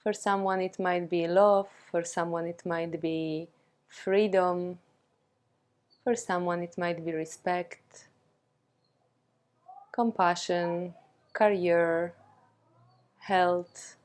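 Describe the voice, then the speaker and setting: Slow-paced woman guiding a meditation. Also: lowish voice. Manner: calm, soothing, with long pauses between short phrases. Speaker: yoga and meditation teacher. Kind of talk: guided meditation.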